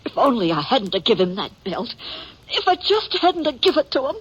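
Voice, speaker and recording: A woman playing a grieving middle-aged American frontier widow in a 1950s radio drama, halting and distraught as she repeats herself. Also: fairly high pitch, big pitch swings, husky. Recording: dull, noisy 1950s radio recording.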